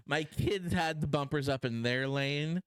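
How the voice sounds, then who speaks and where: A man's voice: fairly high pitch, measured pace, fairly bright tone, smooth, no room sound. A man in his thirties with a Pittsburgh accent, co-hosting a podcast in a rambling, self-deprecating casual chat. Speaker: podcast co-host.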